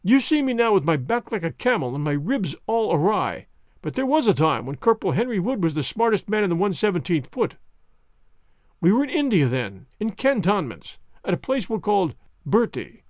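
Man doing a bitter crippled ex-soldier, raised pitch, impassioned, lively swings, dry close sound. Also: normal speed, no echo. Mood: bitter storytelling. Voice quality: vehement, impassioned delivery. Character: bitter, twisted former soldier, middle-aged man. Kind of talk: audiobook character voicing.

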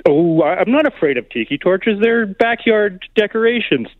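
A man's voice: high-pitched, lively intonation, moderate pace, no room sound. A man calling a radio show by telephone, arguing insistently and pushing back as he talks over the host. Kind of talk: argumentative phone-in debate. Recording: thin, band-limited phone line.

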